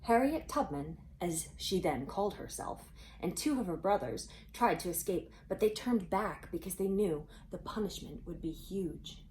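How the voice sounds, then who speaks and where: A woman's voice: lowish voice, moderate pace. Woman reading to children, noisy background. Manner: expressive, asks young listeners questions. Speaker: children's storybook reader. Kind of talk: read-aloud storytelling for kids with conversational asides.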